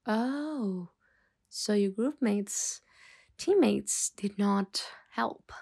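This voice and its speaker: A woman playing a stern, weary boss in an ASMR roleplay, speaking in a measured way with sighs and hesitant pauses. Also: mid-range voice, creaky, darkish tone, breathy edge, heavily acted. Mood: cold, skeptical. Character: stern, weary female mafia boss scolding an employee. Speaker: ASMR voice actor.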